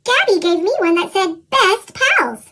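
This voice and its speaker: A woman voicing an excitable young animal schoolchild from a picture book, bubbly and exclaiming, through a squeaky pitched-up chipmunk effect. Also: unhurried, full character voice. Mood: excited.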